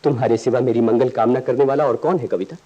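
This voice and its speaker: A man's voice: mid-range voice, steady tone, fast talker, warm tone, breathy edge, muffled recording, close to an everyday voice. A man playing an earnest, resolute idealist lover in a radio play, with solemn, poetic, declamatory delivery. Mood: tender, wistful. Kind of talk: stage-like radio play acting.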